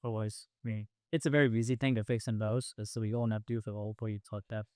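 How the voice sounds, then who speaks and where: A man's voice: lowish voice, steady tone, quick pace, a little mellow, smooth, dry recording, clean studio sound. A man hosting a podcast, chatting in an offhand, casual way while sorting out equipment. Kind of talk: casual chat. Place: podcast studio.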